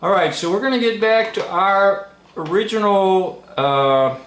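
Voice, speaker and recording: Slow-talking man in an echoey room. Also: sits high, fairly bright tone. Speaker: video host and commentator. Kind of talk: informal unscripted commentary on an old film. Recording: roomy, echoing room sound.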